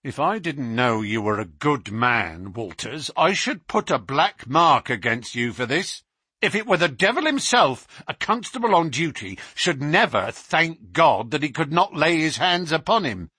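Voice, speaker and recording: A man voicing a stern male police inspector who questions in a sharp, clipped way. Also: fairly high pitch, sing-song, measured pace, slightly rough, no room sound, exaggerated voice.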